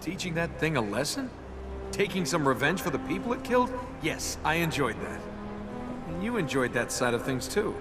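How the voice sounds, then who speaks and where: An actor playing a cocky, flippant young adventurer in a video game, wry and teasing. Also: male voice, on the high side, very animated, moderate pace. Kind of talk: video game cutscene acting. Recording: voice under loud music.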